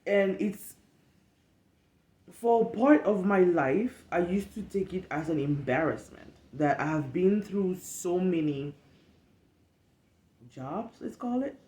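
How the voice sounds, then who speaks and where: A woman's voice: lowish voice, expressive, takes it slowly, long pauses, mellow, reverberant. A woman in her late twenties from Cameroon with a Cameroonian accent, hosting a candid solo podcast, frank and emphatic with repetition. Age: late 20s. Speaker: podcast host.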